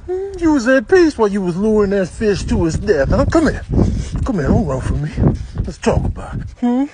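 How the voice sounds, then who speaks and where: Menacing animal, played by a man, mocking, questioning hums, animated pitch, outdoor noise behind, comic voice-over. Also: brisk. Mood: taunting. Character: menacing, taunting animal confronting a fisherman.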